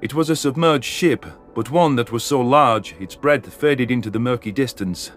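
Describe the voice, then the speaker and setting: A man's voice: medium pitch, moderate pace. A man reading scripted first-person science fiction narration as an audiobook narrator. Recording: music behind the voice. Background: music.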